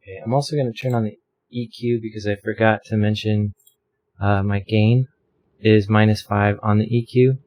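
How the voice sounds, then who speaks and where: A man's voice: fairly low pitch, steady tone, normal speed, darkish tone. A man casually explaining his audio filter settings from a home desk microphone, rambling with lots of fillers. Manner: rambling, self-deprecating, many fillers. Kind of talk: casual tutorial. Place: home room with a fan running.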